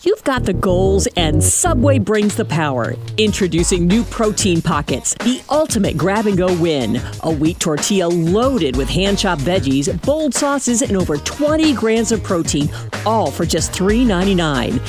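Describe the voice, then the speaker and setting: A woman's voice: on the low side, very animated, measured pace, over music. A woman reading an upbeat scripted commercial as a voice-over.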